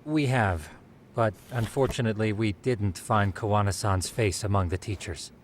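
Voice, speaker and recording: An actor playing a precise, bookish researcher in an English game dub, speaking formally and methodically. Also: male voice, fairly low pitch, moderate pace, a little hiss or hum, close to an everyday voice. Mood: matter-of-fact. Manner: formal, exact, explanatory. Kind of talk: English video game dub cutscene acting.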